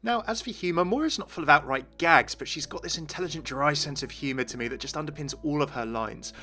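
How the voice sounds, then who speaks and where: A man's voice: a little high, fast, pushed and bright. A man hosting a gaming video, narrating in an enthusiastic explainer style. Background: music.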